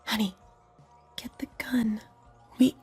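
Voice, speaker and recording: A woman's voice: on the high side, steady tone, slow pace, darkish tone, breathy edge, faint music behind, full character voice. A woman playing a frightened, faltering mother in a horror audio drama. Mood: urgent fear. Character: frightened mother of a deranged son.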